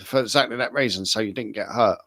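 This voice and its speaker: Bright-voiced man chatting casually. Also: on the low side, quick pace, no room sound. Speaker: show contestant. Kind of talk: casual chat, anecdotes.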